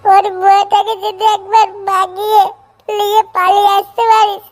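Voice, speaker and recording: A man's voice: very high-pitched, flat delivery, normal speed, heavy background noise. A man voicing a crude, scheming drinking buddy in a comedy cartoon. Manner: coarse, slangy, swearing. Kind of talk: amateur comedy cartoon dub.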